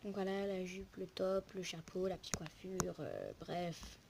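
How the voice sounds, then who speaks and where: A woman's voice: on the low side, unhurried, vocal fry. A young woman casually commentating a game lookbook as host, rambling and interrupting herself, on a poor recording with noise and clicks. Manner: rambling, informal, self-interrupting. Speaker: video host.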